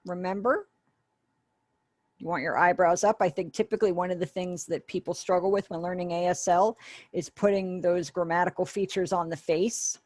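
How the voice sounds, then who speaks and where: A woman's voice: lowish voice, steady tone, moderate pace, a little mellow, no echo. A woman giving an online sign language lesson over a webinar call, patiently explaining and acting out example phrases. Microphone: webinar video call.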